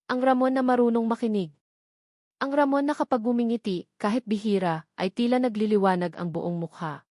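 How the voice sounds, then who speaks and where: A woman's voice: a little high, fairly quick, a little mellow, no echo, clean studio sound. A woman reading a scripted love story as a dramatic narrator and storyteller.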